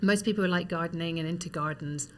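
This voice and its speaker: Scottish woman, chatty and lowish. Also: fast. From Scotland. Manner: chatty, many ums and restarts. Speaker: guest. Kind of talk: interview, relaxed storytelling.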